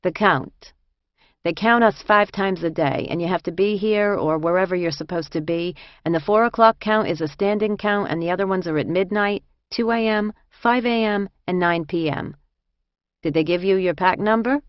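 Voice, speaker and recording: A woman's voice: medium pitch, moderate pace, no room sound, highs cut off, close to an everyday voice. A woman voicing a tired, helpful fifty-ish female inmate with a New Jersey accent, matter-of-fact, in audiobook dialogue.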